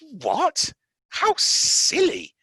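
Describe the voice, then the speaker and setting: A storyteller voicing a proud, quick-tempered young girl with a high, clipped, indignant voice. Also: huge pitch swings, sharp tone, husky, no room sound, clean studio sound, heavily acted. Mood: bossy. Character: haughty, sharp-tempered young girl, Mary.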